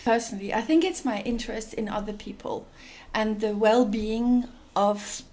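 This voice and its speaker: A woman's voice: on the high side, steady tone, moderate pace, darkish tone. A woman from Denmark, an NGO executive director, answering interview questions thoughtfully with many fillers and restarts.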